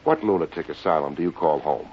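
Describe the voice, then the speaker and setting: An actor playing a wisecracking, unflappable private investigator in an American radio drama, dry and sardonic, with an American accent. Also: male voice, a bass voice, quick pace, breathy edge. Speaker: lead actor narrating and playing a detective. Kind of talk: 1950s American radio detective acting. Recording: dull old radio recording with music.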